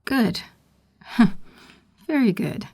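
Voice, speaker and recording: A woman playing a cold, scheming, commanding villainess in an audio drama, speaking in a measured way. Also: on the high side, expressive, mellow, breathy edge, full character voice. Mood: cold, conspiratorial. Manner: slow, measured.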